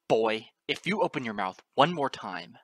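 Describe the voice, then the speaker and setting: A woman's voice: very deep voice, big pitch swings, moderate pace, a little hiss or hum. A woman voicing an angry, cruel adult male unicorn father in a dramatic storybook reading, snarling and growling with menace. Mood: snarling, threatening. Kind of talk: dramatic audiobook character acting.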